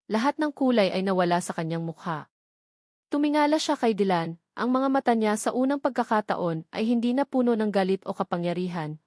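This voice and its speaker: A woman's voice: medium pitch, a little mellow, dry recording. Woman narrating a dramatic story, dry studio sound. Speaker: narrator of audio love stories.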